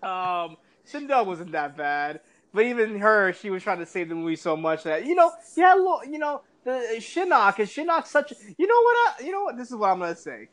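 High-pitched male voice, podcast co-host, casual rant, exasperated, mocking, emphatic, sweary. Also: big pitch swings, fairly quick, fairly bright tone. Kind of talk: casual pop-culture rant.

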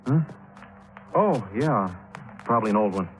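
An American actor playing a polite, unassuming studio technician who is evasive, in a 1950s radio drama. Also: male voice, fairly low pitch, animated, unhurried, rough recording. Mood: uneasy, evasive. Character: deferential male studio technician hiding his guilt. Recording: vintage 1950s radio broadcast recording.

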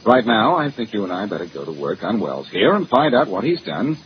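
An actor playing a cocky, wisecracking amateur detective in 1940s radio style, glib, sarcastic and teasing. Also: male voice, on the low side, sing-song, brisk. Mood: sardonic, brisk. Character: cocky, wisecracking amateur detective man, needling a police inspector. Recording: muffled, hissy old-time radio recording.

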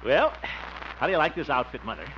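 A man's voice: mid-range voice, sing-song, fast talker, natural voice. A muffled, noisy 1950s radio recording carries an actor as a jovial small-town man playing at being the law. He is pompous and blustery, with a chuckle and a voice that swoops widely. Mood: jovial bluster. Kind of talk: 1950s radio comedy acting.

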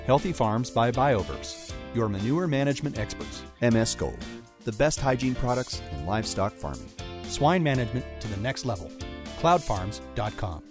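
A man reading sponsor names in a scripted, lively and spaced-out delivery. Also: medium pitch, normal speed, music in the background. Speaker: sponsor announcer. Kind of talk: scripted ad read.

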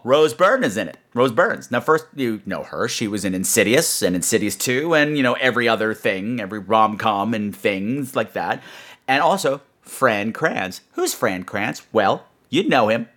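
Animated, rambling man hosting a podcast. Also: medium pitch. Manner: rambling, sarcastic asides, swearing. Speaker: podcast host. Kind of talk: casual comedic storytelling monologue.

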